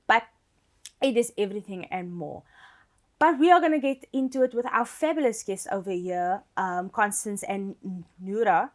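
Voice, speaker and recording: A young woman with a South African accent hosting a student TV talk show in a chatty, bubbly way. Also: fairly high pitch. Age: young adult. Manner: chatty, bubbly, laughs often. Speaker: host.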